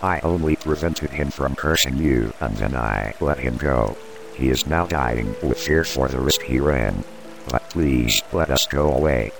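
An actor playing the disciple Judas, urgent and pleading, with a gravelly voice. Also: male voice, very deep voice, unhurried, exaggerated voice. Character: agitated impassioned male disciple Judas. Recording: music score underneath.

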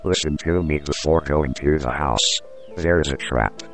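An actor playing the disciple Judas, urgent and pleading, with a gravelly voice. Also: male voice, very deep voice, measured pace, fairly bright tone, exaggerated voice. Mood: alarmed. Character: agitated impassioned male disciple Judas. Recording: music score underneath.

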